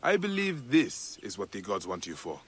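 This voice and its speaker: An actor playing a weary, honourable Egyptian warrior in a video game, speaking in a solemn, deliberate way. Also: male voice, lowish voice, very animated, breathy edge. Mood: matter-of-fact. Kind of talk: dramatic video game acting.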